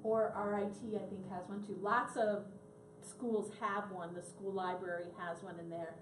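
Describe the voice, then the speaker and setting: A woman co-presenting a workshop responds briskly and adds her own points. Her mid-range voice sounds distant in an echoey room, with a faint background hiss. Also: medium pitch, flat delivery. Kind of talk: casual workshop discussion.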